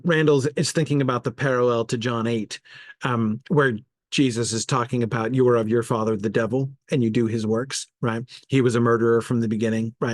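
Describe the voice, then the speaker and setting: A man's voice: mid-range voice, dry recording. A man with a mid-range voice talks through ideas like a teacher in an informal online study group. He hesitates, corrects himself and leans hard on key words, checking in as he goes. The sound is dry, with very little room in it. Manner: hesitant, self-correcting, thinking aloud. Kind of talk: informal lecture with discussion of viewer comments.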